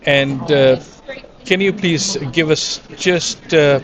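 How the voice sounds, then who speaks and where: A man interviewing university representatives, asking short questions slowly, with hesitations. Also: a little high. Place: busy education expo hall. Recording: crowd noise behind. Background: crowd chatter.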